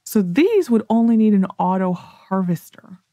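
American gamer lady, soft mellow tone, rambling to herself while she plays, casual commentary. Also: medium pitch, sing-song, normal speed, no room sound, clean studio sound. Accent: General American. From United States. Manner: rambling, thinking aloud to herself. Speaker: gaming video host.

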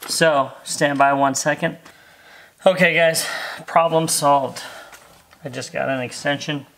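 A man's voice: fairly high pitch, fairly even intonation, slow, vocal fry, fairly bright tone, rough recording. A middle-aged American man giving a casual, easygoing hands-on tutorial, talking as he works inside a parked car. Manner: easygoing, talking while working with his hands. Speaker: host of a car accessory install video. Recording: faint background noise and clicks.

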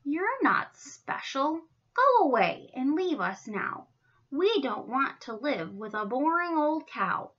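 Woman doing haughty, forceful storybook zoo animals. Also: high-pitched, sing-song, takes it slowly, muffled recording, exaggerated voice. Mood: scornful, unwelcoming. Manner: brusque, scornful, emphatic.

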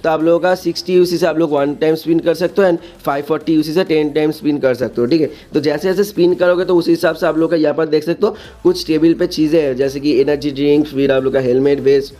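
A man's voice: on the high side, fairly even intonation, quick pace, hardly any pauses, dark tone. A man hosting a gaming video in a casual explainer style, repeating himself with frequent check-in tags and mixing in English words. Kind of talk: casual explainer narration over game screens.

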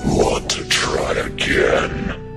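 A man's voice: extremely slow, over loud music. A man voicing a sinister, taunting demonic hedgehog monster in a horror fan cartoon. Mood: menacing, taunting.

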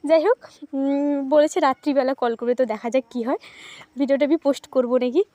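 Fast, high-voiced woman, slightly under the weather. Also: fairly even intonation, dark tone, no echo. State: sick, voice gone bad. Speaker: prank caller and vlogger. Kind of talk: casual prank call and chat to camera.

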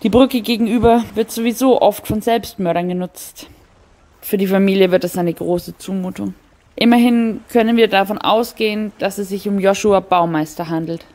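A woman's voice: mid-range voice, normal speed, darkish tone, natural voice. A woman playing a composed, wry homicide detective in an audio crime drama, matter-of-fact, polite and probing. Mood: musing.

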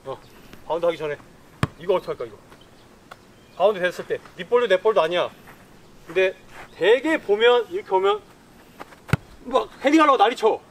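A man in his thirties coaching football players on an outdoor pitch, giving brisk instructions and commands, recorded from a distant camera. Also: lively intonation, creaky, fairly bright tone, slight background noise.

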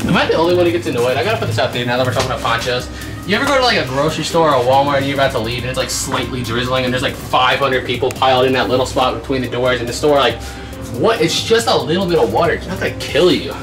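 A man's voice: mid-range voice, expressive, fast talker, over music. A man in his twenties giving rambling, casual commentary to viewers while digging through a storage unit. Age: 20s. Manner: chatty, rambling, talks to viewers. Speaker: treasure-hunting vlogger. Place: indoors in a metal storage unit. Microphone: handheld camera mic.

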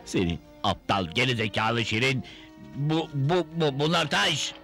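A man's voice: mid-range voice, unhurried, gravelly, pushed and bright. A man playing a greedy, scheming cartoon wizard who fakes kindness in an oily, theatrical, mock-sweet manner. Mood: furious. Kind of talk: animated cartoon dubbing. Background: background music.

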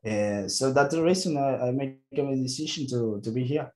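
A man in his twenties with a Mexican Spanish accent, a football player answering press questions in halting English, often stopping and restarting as he searches for words. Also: mid-range voice, warm tone.

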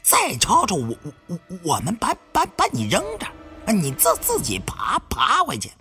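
A man voicing a jumpy, gruff tomb raider with a heavy stutter in an audiobook. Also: sits high, huge pitch swings, moderate pace, pushed and bright, faint music behind, pitched above the performer's own voice, heavily acted. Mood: scolding.

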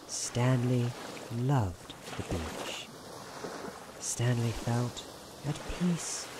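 A performer voicing a genial, theatrical narrator in a soft-spoken ASMR roleplay, speaking gently and formally with deliberate pauses. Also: medium pitch, very noisy. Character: genial, theatrical storybook narrator guiding someone to relax.

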